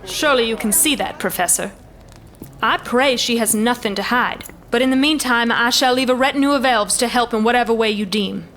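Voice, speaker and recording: A woman's voice: fairly high pitch, fairly quick, fairly bright tone, a little hiss or hum. A woman playing a noble elven commander in a fantasy audio drama, speaking in formal, stately oratory. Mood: calculating, composed.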